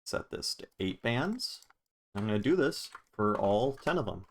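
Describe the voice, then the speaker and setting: A man hosting a casual software tutorial on music production, talking in an offhand, informal way and swearing casually. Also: lowish voice, big pitch swings, a little slow.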